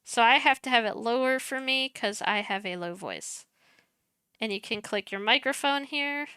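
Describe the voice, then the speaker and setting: A young woman streamer, soft-spoken and chatty, walking viewers through her streaming setup on a headset mic while muttering as she clicks around. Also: fairly high pitch, moderate pace, clear, resonant tone, dry recording, clean studio sound. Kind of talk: casual screen-share tutorial.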